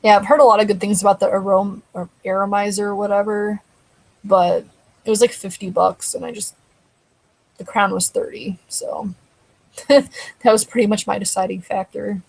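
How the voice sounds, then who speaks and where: A woman's voice: medium pitch, level tone, moderate pace, gravelly, low-quality recording. A woman hosting a casual live stream, chatting loosely and answering viewers, her throat getting dry. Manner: rambling, conversational, answers chat comments. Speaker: live show host.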